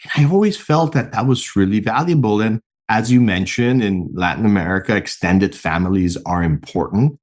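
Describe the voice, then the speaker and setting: A middle-aged man from Mexico, a podcast guest and founder, explaining his work in an animated, earnest way with a few restarts. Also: fairly low pitch, normal speed, hardly any pauses. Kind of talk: conversational interview answers.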